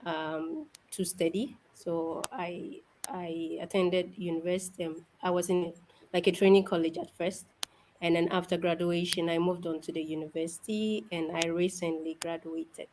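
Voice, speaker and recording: A young woman from Ghana, a guest on an interview show, cheerful and soft-spoken, laughing often as she talks. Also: lowish voice, steady tone, normal speed, noisy background. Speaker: guest, event organizer. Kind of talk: casual interview.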